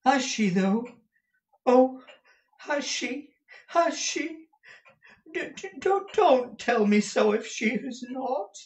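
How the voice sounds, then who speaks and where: A man's voice: very high-pitched, expressive, slow, pauses often, roomy sound, distant mic, voice pushed up, heavily acted. A man voicing a frail, timid young man from a storybook, tearfully eager and devoted, stammering and repeating his words. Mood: tremulous hope. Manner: stammering, breathless repetition of words.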